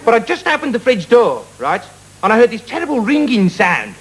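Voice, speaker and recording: A man playing a dim, self-important young bloke who rambles matily and haltingly through a comic monologue. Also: very high-pitched, wildly swooping pitch, full character voice. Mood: rambling, pleased. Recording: noisy live recording with loud crowd.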